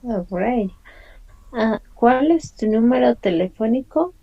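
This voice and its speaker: A woman's voice: a little high, animated, slow pace, creaky, highs cut off. A woman, teaching an online language lesson and patiently explaining and repeating questions. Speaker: Spanish teacher.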